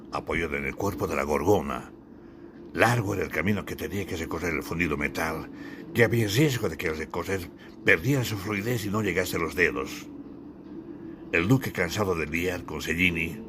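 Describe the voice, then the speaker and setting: A man's voice: low-pitched, background noise. A man from Bolivia narrating his own literary audiobook in a dramatic, theatrical storytelling style. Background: music.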